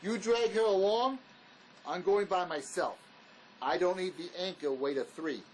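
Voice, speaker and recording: An actor playing a wary, blunt escaped convict in a drama, speaking in an argumentative, insistent manner. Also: male voice, high voice, unhurried, a little hiss or hum, low-quality recording. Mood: challenging. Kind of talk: dramatic dialogue reading.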